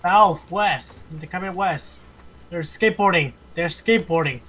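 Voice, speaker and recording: A man's voice: high-pitched, a little slow, sharp tone. A man acting as teammate in casual multiplayer game chat, calling out enemies. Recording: faint noise on voice chat.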